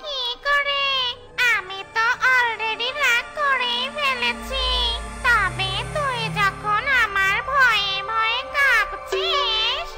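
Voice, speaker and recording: Angry cartoon female ghost: piercingly high, slow, scolding, over loud music. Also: heavily acted. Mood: scolding, vindictive. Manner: scolding, threatening, exclamatory. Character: angry, bossy female ghost granting mischievous curses. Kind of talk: comic cartoon voice acting.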